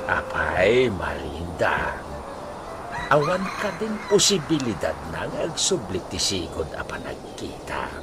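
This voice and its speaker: A sympathetic, henpecked husband from a radio comedy as a man acts him. He draws his words out slowly with extremely animated swings in pitch. Clear background noise sits behind him. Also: full character voice. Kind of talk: Ilocano radio comedy drama acting.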